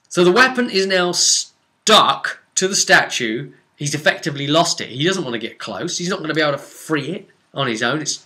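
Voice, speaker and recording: A man recapping a tabletop game session as game master, telling it like an animated story with dramatic emphasis and drawn-out words. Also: mid-range voice.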